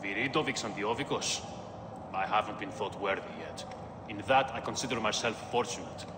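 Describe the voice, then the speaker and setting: A man's voice: lowish voice, normal speed, close to an everyday voice. A man voicing a wry, affable soldier turned gladiator in a video game, joking and frank. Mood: wry, friendly. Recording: echoey hall with background noise.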